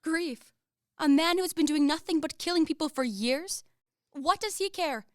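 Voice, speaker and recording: Radio drama young lady, played by a woman: haughty and dramatic, distinctly high-pitched, dry studio sound. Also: normal speed, dry recording, close to an everyday voice. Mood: scornful. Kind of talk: radio play stage acting.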